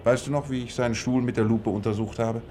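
A man acting in a radio play as a shrewd, composed detective, laying out deductions in a measured, self-assured way. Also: medium pitch, fairly even intonation, noisy background, lightly acted. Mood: explanatory.

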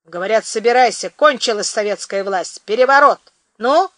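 A woman voicing a gruff, commanding man who speaks brusquely. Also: on the high side, lively intonation, moderate pace, a bit bright, no room sound. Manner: brusque, bossy.

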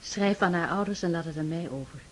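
An actress playing a gentle, wise young noblewoman in a radio play, speaking in a calm, measured way. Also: on the low side, slight background noise, natural voice. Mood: reassuring.

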